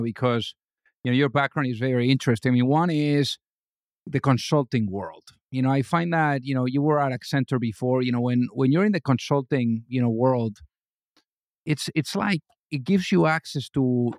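A man's voice: medium pitch, darkish tone, no echo, studio quality. A man hosting a business podcast, enthusiastic and leaning on lots of "you know" fillers as he introduces and questions his guest. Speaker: podcast host. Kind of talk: casual interview hosting.

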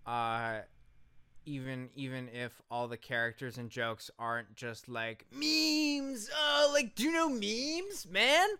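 A man's voice: mid-range voice, slow, pushed and bright, smooth, dry recording. A man co-hosting a podcast in casual comedic chat, swearing freely and giving blunt opinions. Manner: profane, opinionated. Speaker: podcast co-host.

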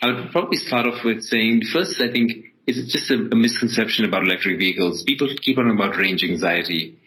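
A male startup founder from Ethiopia speaks as an interview guest. His voice sits a little low, and he explains his points in a conversational way. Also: a little fast, pushed and bright, rough recording.

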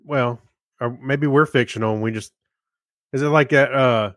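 A man's voice: medium pitch, steady tone, normal speed, a bit bright, dry recording, studio quality. A man co-hosting a podcast, chatting casually and reading listeners' comments aloud. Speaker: podcast co-host.

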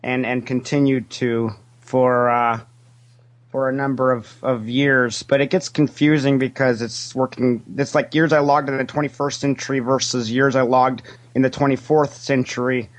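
A man's voice: medium pitch, level tone, moderate pace, natural voice. A man playing a cheerfully shameless, greedy Ferengi alien money investigator, talking in a hesitant, rambling, matter-of-fact way in an improvised interview. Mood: hesitant, confused. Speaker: interview guest. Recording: internet call connection.

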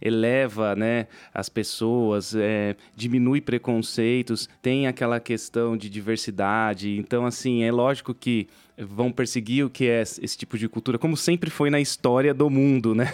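A man's voice: medium pitch, steady tone, moderate pace, darkish tone, clean tone, no echo. A Brazilian man co-hosting a podcast, chatting informally and often tagging phrases with little questions. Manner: informal, frequent tag questions. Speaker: podcast co-host. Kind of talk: casual chat.

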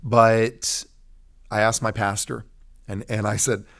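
A man's voice: fairly low pitch, little pitch movement, slow pace, gravelly, fairly bright tone. A middle-aged American man giving a personal testimony in an interview, speaking emphatically like a preacher in short, repeated phrases. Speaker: pastor guest telling his testimony.

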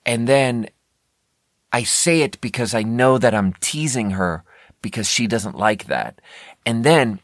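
A man's voice: medium pitch, expressive, a little slow, no echo, clean studio sound. An American man hosting a podcast alone, rambling casually and candidly in a conversational way, often interrupting himself. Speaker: podcast host. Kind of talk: casual rambling solo talk.

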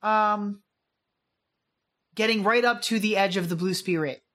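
Female podcast co-host, mid-pitched, informal banter with a teasing, enthusiastic fan edge. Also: unhurried, fairly bright tone. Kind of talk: casual banter podcast chat.

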